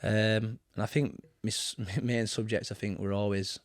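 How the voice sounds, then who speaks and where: A man in his thirties with a Barnsley accent, a guest in a casual interview, talking in a relaxed, rambling way with many ums. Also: fairly low pitch, moderate pace, no room sound, clean studio sound. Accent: Barnsley, Yorkshire. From England. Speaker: guest, football manager. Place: cluttered home studio, indoors. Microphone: studio mic with headphones.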